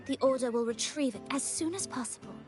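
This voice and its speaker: A woman's voice: high-pitched, brisk, music in the background, natural voice. An actress playing a devout, apologetic woman of a religious order in a video game, speaking in a formal, reverent, preachy way. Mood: solemn, pious.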